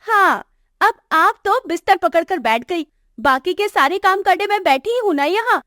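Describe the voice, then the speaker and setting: Woman playing haughty, high-pitched scolding young daughter-in-law. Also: expressive, moderate pace, pushed and bright, no room sound, clean studio sound, exaggerated voice. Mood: contemptuous, irritated. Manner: scornful, complaining. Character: arrogant, scolding young working daughter-in-law with a sharp tongue. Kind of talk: acted moral story dialogue for animation.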